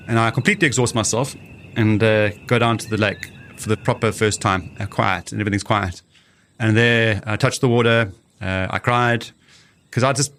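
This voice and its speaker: A man's voice: on the low side, a bit bright, slight background noise. A man giving a reflective, descriptive first-hand account as an expedition leader. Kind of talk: first-hand documentary recollection.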